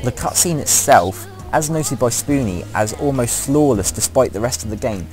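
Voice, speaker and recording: A man presenting an opinionated gaming countdown as a voice-over commentary. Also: mid-range voice. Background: music.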